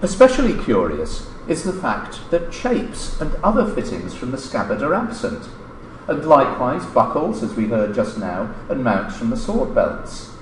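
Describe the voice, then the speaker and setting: Middle-aged male academic, formal and deliberate, with lively intonation, heard in a reverberant lecture room. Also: mid-range voice. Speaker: academic lecturer reading a paper. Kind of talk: formal scripted lecture, read aloud. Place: indoor lecture room at a lectern. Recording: roomy lecture-hall echo, background noise.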